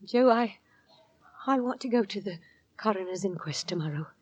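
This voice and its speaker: A woman playing an anxious, fearful middle-aged Victorian mother in a radio drama, tense, halting and urgent. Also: medium pitch, expressive, breathy edge, dry recording, highs cut off. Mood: grave, determined. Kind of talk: old-time radio drama acting.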